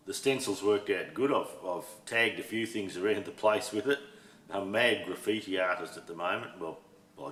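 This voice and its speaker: A middle-aged Australian man with a slightly hoarse voice, rambling in casual chat about his workshop as the host of his channel, with plenty of ums and restarts. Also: low voice, fairly bright tone, slight background noise. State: a bit hoarse. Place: home workshop shed. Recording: echoey shed room.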